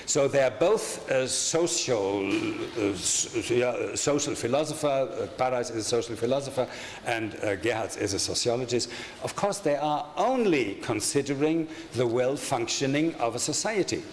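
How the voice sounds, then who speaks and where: A man's voice: medium pitch, lively intonation. Older German-accented man lecturing. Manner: halting, many fillers and restarts, wry asides. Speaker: conference speaker. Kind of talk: academic lecture. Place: lecture hall with projection screen. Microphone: desk microphone at a lectern. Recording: echoey hall with faint room noise.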